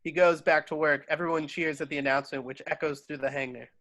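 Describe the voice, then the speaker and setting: A man with a bright, forceful and fairly high voice narrates a screenplay. He reads stage directions and clipped scene headings into a close, very dry home microphone. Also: steady tone, fast talker, no echo, poor audio. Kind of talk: scripted narration.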